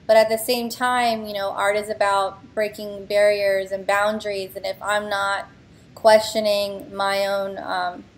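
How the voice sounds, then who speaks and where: A woman in her thirties, an artist talking through her own work on a video call, thoughtful and halting, with many fillers and restarts. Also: mid-range voice, flat delivery, a little hiss or hum. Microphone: video call webcam.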